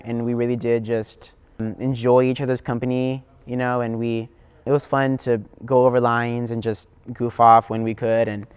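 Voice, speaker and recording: A man's voice: medium pitch, darkish tone, clear, resonant tone. A teenage male student actor talking casually in an interview. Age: teens, high school senior.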